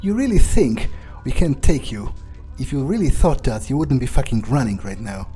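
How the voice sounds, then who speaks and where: Man playing a dark-voiced, menacing vampire. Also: mid-range voice, lively intonation, slightly rough, faint music behind. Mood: angry threats. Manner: halting, self-correcting, swearing, taunting. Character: menacing, foul-mouthed male vampire, cocky and vindictive. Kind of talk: in-character video game roleplay playthrough.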